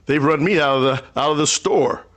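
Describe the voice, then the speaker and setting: A man's voice: on the high side, brisk, fairly bright tone, lightly acted. A man playing a folksy, good-natured old country storekeeper in a radio drama, homespun and plain-spoken. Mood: grumbling. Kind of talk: vintage radio drama acting.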